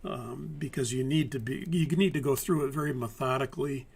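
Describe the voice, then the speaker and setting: A man teaching a live-streamed airline pilot course in a relaxed, methodical way, hoarse from a lingering virus. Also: mid-range voice, a little fast. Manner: methodical, conversational, many fillers and restarts. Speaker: flight instructor. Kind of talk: live-streamed training talk.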